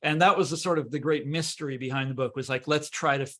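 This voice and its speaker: An American man from Kansas talking as an interview guest, animated and emphatic, often repeating his words as he explains. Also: fairly high pitch, fast. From Kansas, United States. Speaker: guest author and historian. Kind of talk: conversational interview.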